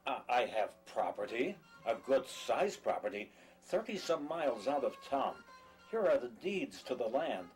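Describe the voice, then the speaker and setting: A humble country farmer on the run played by a male actor in an audio drama. He speaks at a middling pitch, haltingly and timidly, tripping over words, with faint music underneath. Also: very animated, darkish tone, low-quality recording. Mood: earnest, bargaining. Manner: halting, stammering. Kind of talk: dramatic dialogue in an audio play or table reading.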